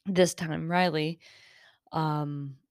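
A woman hosting her own improvised podcast, talking in a chatty, rambling way, her voice raspy and tired, partly lost. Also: sits low, steady tone, drawn-out words, no room sound, clean studio sound. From Northern California. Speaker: podcast host. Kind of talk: improvised solo talk. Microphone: close mic.

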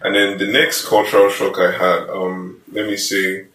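A man, a student guest, telling a casual story in an animated way with laughs. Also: deep voice, moderate pace. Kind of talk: casual panel chat. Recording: echoey room, mic at a distance.

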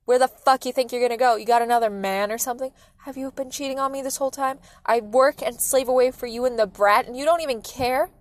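A woman's voice: high-pitched, a little slow, hardly any pauses, darkish tone, dry recording. A woman voicing an angry, contemptuous, abusive father in a dramatic fan fiction reading. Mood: menacing, accusing. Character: furious, menacing abusive father, a man with fire powers.

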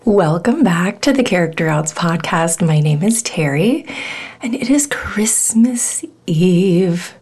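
A woman's voice: lowish voice, animated, takes it slowly, a little mellow, slightly rough. A woman hosting her own podcast with a head cold, so her voice is nasal and congested. She talks in an earnest, rambling way, full of fillers. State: has a cold. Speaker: podcast host. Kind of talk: casual solo podcast talk.

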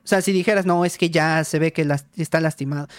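A woman's voice: sits low, fairly even intonation, quick pace, no room sound. A woman with a Mexican accent co-hosting a casual talk, making her points emphatically with repetition and rhetorical questions. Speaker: podcast co-host. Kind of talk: casual conversation.

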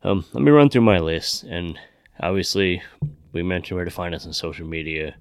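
A man's voice: low voice, normal speed, clean tone, no echo, studio quality. A man hosting a podcast in casual, informal chat, swearing freely. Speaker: podcast host.

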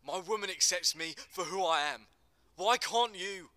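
A man's voice: high voice, a little slow, bright, forceful tone, no room sound, voice pushed up. A man acting an earnest gangster who explains things with emphatic passion in a dramatic screenplay reading. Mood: pleading. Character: earnest Teddy Boy gangster, passionate non-binary teacher.

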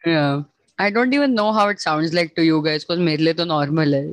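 A young woman with an Indian accent and a naturally deep voice, chatting casually, laid-back and often laughing. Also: very fast, no echo. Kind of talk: casual chat.

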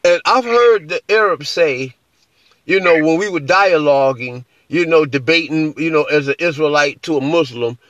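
A man in a conversational religious discussion, talking haltingly and repeating his phrases. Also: fairly high pitch, a bit bright, no room sound. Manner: halting, repeats phrases, often checks with "you know". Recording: dull, lo-fi call audio.

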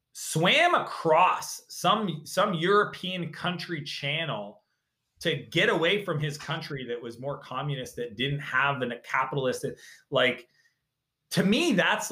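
Male guest, bright and forceful tone, emphatic storyteller, roomy sound. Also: a little high. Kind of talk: casual interview talk.

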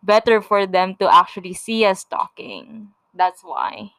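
A woman's voice: mid-range voice, unhurried, a bit bright, no echo. A young woman from the Philippines with a Filipino accent, co-hosting a casual couple podcast, giggly and repeating words as she starts a thought. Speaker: podcast co-host.

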